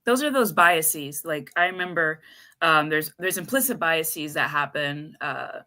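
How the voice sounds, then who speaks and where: Female podcast co-host, very low pitch, bright forceful tone, brisk. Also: expressive. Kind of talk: casual podcast chat.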